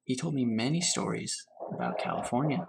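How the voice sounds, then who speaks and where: Male read-aloud teacher for children's storybook, lively intonation with a gravelly, creaky edge, faint noise behind. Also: medium pitch. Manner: pauses to pose questions to young listeners. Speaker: teacher reading to fourth graders.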